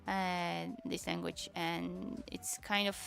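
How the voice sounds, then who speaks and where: A young woman whose first language is Tatar, chatting casually on a stream, halting and searching for words, with many fillers. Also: lowish voice, big pitch swings, gravelly, fairly bright tone, soft background music. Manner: switches between Japanese and English. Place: lives in Japan.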